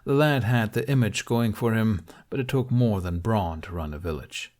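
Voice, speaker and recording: A man's voice: on the low side, moderate pace, a little mellow. A man reading scripted story narration as an audiobook narrator.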